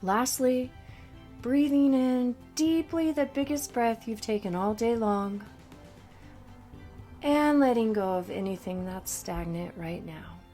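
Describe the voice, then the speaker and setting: A woman's voice: a little high, takes it slowly, warm tone. Woman guiding a meditation over music. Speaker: meditation coach. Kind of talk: scripted guided meditation narration. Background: background music.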